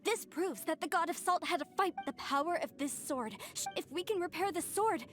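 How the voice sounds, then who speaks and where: High-voiced, earnest, insistent young woman. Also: fairly quick. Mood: excited. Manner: emotive, insistent. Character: earnest, excitable, stubborn young woman seeking proof. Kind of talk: English video game voice acting. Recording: light music behind the voice.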